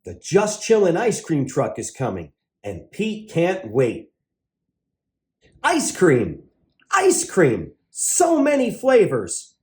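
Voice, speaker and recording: A man's voice: a little high, huge pitch swings, slow, darkish tone. A man hosting a children's read-aloud, exuberant and exclamatory, drawing words out for emphasis.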